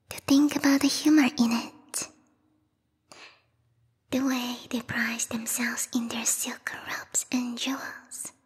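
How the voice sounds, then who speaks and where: A woman voicing a bloodthirsty, refined bat girl royal guard in a whispered ASMR roleplay, prim and courtly with quiet menace. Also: a little high, in a large hall, heavily acted. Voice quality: breathy, whispery. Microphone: close binaural microphone.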